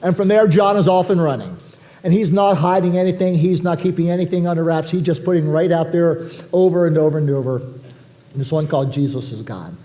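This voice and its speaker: A man preaching a sermon as pastor, nasal from a head cold, talking in a conversational way with restarts and throat clearing. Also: on the high side, a little fast, mellow. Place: church auditorium.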